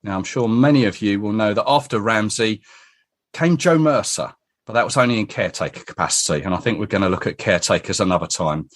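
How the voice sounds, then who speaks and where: A man's voice: on the low side, quick pace. A man hosting a podcast, talking conversationally as he introduces and interviews. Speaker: podcast host.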